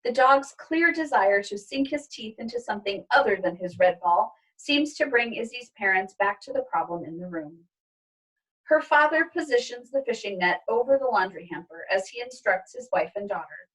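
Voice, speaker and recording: Gentle woman reading a story aloud. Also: on the high side, animated, moderate pace, slightly rough, far from the mic, rough recording.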